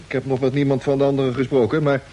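A man acting an excitable, chatty spaceship radio operator in a science fiction radio play. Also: medium pitch, very fast, close to an everyday voice. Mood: matter-of-fact. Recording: noisy old radio play recording.

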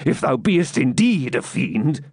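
Man voicing a stubborn dying baron, growling, fierce, lively pitch, dry sound. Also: measured pace, slightly rough, dry recording, full character voice. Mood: defiant. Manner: growling, defiant outbursts.

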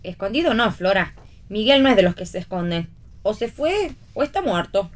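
An actress playing a bossy, defiant, quick-tongued woman in radio theatre, with an Argentine accent. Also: mid-range voice, sing-song, natural voice. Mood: wry.

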